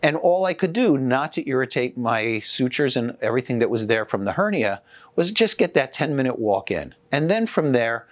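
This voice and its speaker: A man in his sixties speaks in a relaxed, conversational way, pitched right in the middle of the male range. He restarts and corrects himself often, sounding earnest and unpolished. The recording is dry and close, with no room echo. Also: normal speed. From United States. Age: 60s. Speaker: chiropractor. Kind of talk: casual talk to camera.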